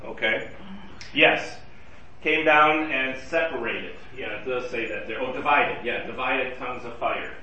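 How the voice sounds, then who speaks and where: A man's voice: medium pitch, measured pace, pushed and bright, lo-fi sound, slight background noise. A man teaching a Bible study class in a conversational way, asking his group questions. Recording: roomy and distant from the mic.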